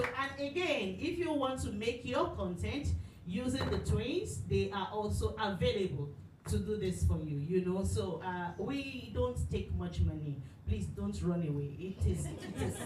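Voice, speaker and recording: A woman at a live workshop welcoming guests and promoting the studio in a chatty, casual way, with many fillers. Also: mid-range voice. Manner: warm, chatty, many "you know" fillers. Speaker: studio representative. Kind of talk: welcoming speech at a live event. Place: studio event space with an audience. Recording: roomy, distant, noisy live room.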